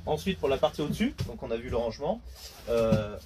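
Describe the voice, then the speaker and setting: A man's voice: mid-range voice, very animated, brisk, noisy background. A man, giving a casual product walkthrough inside a small camper van. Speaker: camper van dealer presenting a vehicle.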